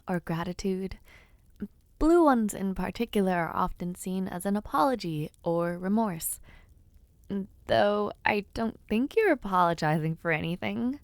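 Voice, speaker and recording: A young noblewoman played by a woman speaks intimately to someone dear in a romance audio drama. She is bashful and giddy, teasing with affection and hesitating softly. Her mid-pitched voice takes its time, in a very dry, close recording. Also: no room sound. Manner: soft hesitations, gentle teasing, shy giggles. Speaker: voice actor in romance audio roleplay. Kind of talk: intimate scripted audio roleplay, speaking to the listener.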